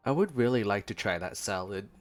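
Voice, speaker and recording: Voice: sits low, animated, a little fast, soft background music. A gaming streamer giving casual, reactive gameplay commentary.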